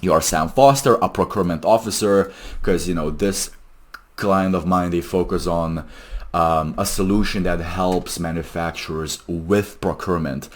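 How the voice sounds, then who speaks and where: Deep-voiced man in a casual explainer vlog. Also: normal speed. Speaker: AI phone agent developer presenting a video.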